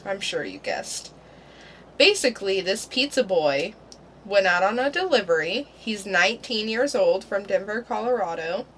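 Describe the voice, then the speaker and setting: An easygoing woman co-hosts a casual podcast and muses through her guesses. She speaks slowly. There is a faint hiss behind her. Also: mid-range voice. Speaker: podcast co-host.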